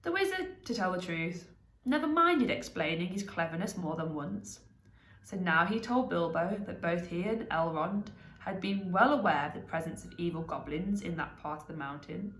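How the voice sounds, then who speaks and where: A woman narrating a storybook aloud in an expressive reading style. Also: lowish voice, moderate pace, reverberant, slight background noise, low-quality recording.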